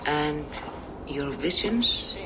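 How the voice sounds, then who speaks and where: A woman playing a wise, serene Norse seeress in a video game, speaking in measured, poetic, gracious phrases. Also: low-pitched, lively intonation, noisy background, full character voice. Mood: serene, reflective. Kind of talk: dramatic video game acting.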